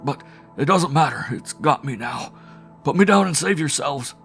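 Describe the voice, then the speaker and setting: A man's voice: mid-range voice, moderate pace, gravelly, soft background music, voice pushed up, close to an everyday voice. A man voicing a delirious, terrified young man who sobs and cries out wildly. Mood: sobbing. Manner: sobbing, crying out wildly.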